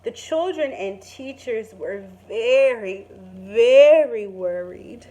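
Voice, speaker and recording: A woman teacher reading a story aloud to young children in an expressive, dramatic and emphatic way. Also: on the high side, slow, poor audio.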